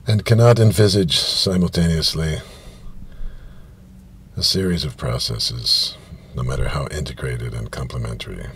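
A man in his fifties with a gravelly voice gives a halting, deliberate literary reading, pausing and repeating himself. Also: extremely low pitch. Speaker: reader. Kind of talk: literary reading of science fiction prose. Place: inside a parked car, small space. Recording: steady ambient noise of car interior.

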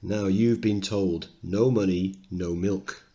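A man's voice: low-pitched, steady tone, slow, mellow, dull audio. A man playing an irate, hard-nosed milkman, rude and impatient, in a play reading. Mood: irate, dismissive.

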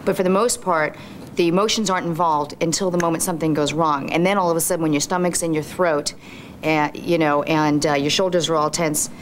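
A woman's voice: sits low, steady tone, a little fast. An American woman, an air traffic controller, explaining her job in an interview conversation. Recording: faint background ambience.

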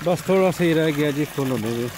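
A man casually narrating a village vlog about his ducks, in a relaxed, chatty way. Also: on the high side, brisk, background noise. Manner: relaxed, chatty, says "ji" often. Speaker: vlogger. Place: outdoor village yard with ducks.

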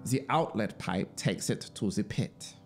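A man in his thirties reading a story as dramatic, deliberate, expressive audiobook narration, close on the mic. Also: lowish voice, vocal fry, over music. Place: small home music studio, indoors. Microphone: broadcast mic on boom arm, close. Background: ambient synth soundscape noise.

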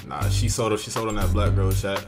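A man's voice: on the low side, fairly even intonation, a little fast, gravelly. Young man riffing to his stream chat. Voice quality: slangy, joking, talks straight to chat. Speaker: streamer reacting to a dating video. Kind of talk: casual reaction commentary to chat. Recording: loud music behind the voice.

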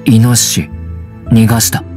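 A man voicing a guarded wild teenage boy raised by wolves in a video game, speaking in terse, halting, simple phrases. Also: on the low side, fairly even intonation, music in the background, natural voice. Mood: earnest, terse. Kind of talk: Japanese anime video game voice acting.

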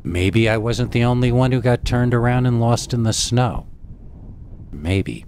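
A man reading scripted scary stories as a host, in a theatrical, deliberate storytelling manner. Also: medium pitch, a little mellow, a little hiss or hum. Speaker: horror story narrator and channel host.

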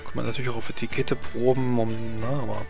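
A man with a creaky, mid-pitched voice runs a tabletop game. He narrates off the cuff, haltingly, with faint music behind him. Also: little pitch movement. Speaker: game master. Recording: background music.

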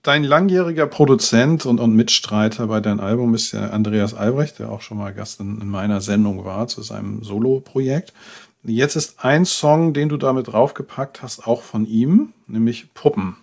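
A man's voice: medium pitch, normal speed, gravelly, a little mellow. A man, hosting a show and putting conversational questions to an interview guest. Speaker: radio show host, interviewer.

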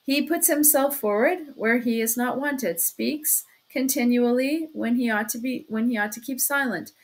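A woman's voice: fairly high pitch, moderate pace, lightly acted. A woman voicing a sly, fussing male police investigator in an audiobook, wheedling and chattering as he repeats himself. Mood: sly, taunting. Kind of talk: audiobook character acting.

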